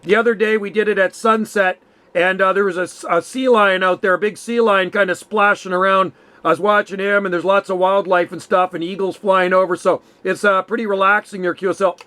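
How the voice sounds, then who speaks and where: High-voiced North American man chatting. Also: fairly even intonation, brisk, sharp tone. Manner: easygoing, chatty, says "man" often. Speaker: amateur radio operator and channel host. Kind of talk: casual radio chat.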